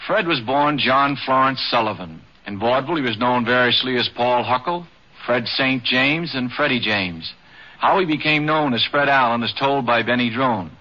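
Male documentary narrator, mid-pitched, scripted fifties radio delivery, muffled vintage recording. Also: animated, moderate pace, sharp tone. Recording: dull, band-limited 1950s radio recording.

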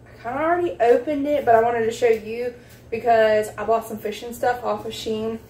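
A woman's voice: on the high side, fairly even intonation, a little fast, mellow. A young woman with a South Louisiana accent chatting casually through a haul video at home, rambling with lots of ums. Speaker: vlogger. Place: indoors at home, a small room with a table. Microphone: phone camera set back on the table. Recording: distant phone mic, faint room noise.

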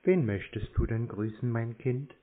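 A man bantering casually on a phone call in a mocking, deadpan way. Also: mid-range voice, moderate pace. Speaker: host of a scam-baiting show, prank caller. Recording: narrow tinny phone-line sound.

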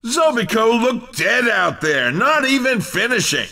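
An excitable video game race commentator, calls results with theatrical emphasis. His voice is very high and piercingly bright, and he takes his time over each unhurried phrase. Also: recorded from a distance, exaggerated voice. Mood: let down.

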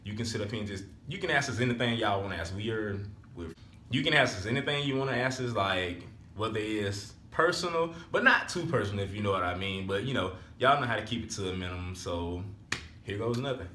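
Laid-back young man, low voice. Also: expressive, a little fast, bright, forceful tone, echoey room, a little hiss or hum. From Alabama, US. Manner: laid-back, rambling, repeats "so therefore". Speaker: vlogger. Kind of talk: casual chat. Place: small room at home.

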